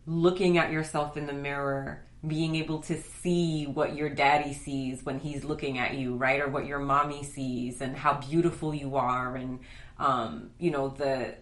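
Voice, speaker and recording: A woman's voice: very deep voice, level tone, measured pace, fairly bright tone. A woman hosting a podcast interview, chatty and enthusiastic, often checking in with "right?". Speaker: podcast host. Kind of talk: casual interview conversation. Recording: echoey room.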